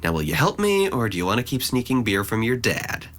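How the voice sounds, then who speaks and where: A man's voice: medium pitch, sing-song, a little fast, fairly bright tone. A man playing a sardonic, scheming teenage boy in an audio drama, articulate and condescending. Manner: articulate, formal phrasing, condescending.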